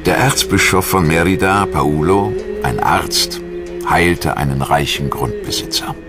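A man reading scripted documentary narration in a measured, formal manner, as a studio voice-over. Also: low-pitched. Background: music.

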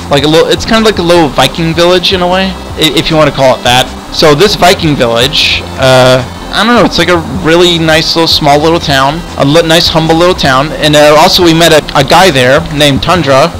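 Halting, rambling man with higher voice. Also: a bit bright, slight background noise, poor audio. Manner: rambling, repeats words haltingly. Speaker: host and player in a roleplay game video. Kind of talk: casual improvised roleplay chat. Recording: music behind the voice. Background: music.

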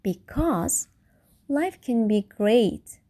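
Slow, lilting woman reading a picture book. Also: fairly high pitch, sing-song, warm tone. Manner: deliberate, theatrical emphasis on key words. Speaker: storybook reader. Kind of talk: expressive read-aloud of a children's picture book.

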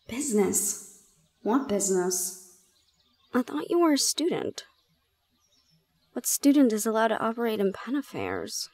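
Woman voicing young gorgon woman, audio drama, high-pitched, shy, easily flustered, incredulous, halting and exclamatory. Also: a little mellow, no room sound. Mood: curious. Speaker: audio roleplay performer. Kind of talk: audio roleplay drama acting.

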